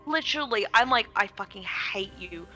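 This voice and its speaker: A woman's voice: medium pitch, lively intonation, normal speed, sharp tone. A woman giving casual, blunt and exclamatory commentary on a game stream. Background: game music.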